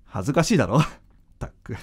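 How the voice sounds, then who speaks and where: A man's voice: mid-range voice, animated, quick pace, slightly rough. A man acting in a radio drama as an earnest young doctor in love, speaking informally and from the heart. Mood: bashful. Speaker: voice actor.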